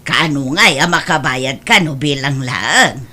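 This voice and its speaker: A woman's voice: rumbling low, lively intonation, a little slow, pushed and bright, heavily acted. A woman playing a frank, questioning friend who sells goods and chases debts, speaking with an Ilocano accent in a radio-style comedy drama. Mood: businesslike.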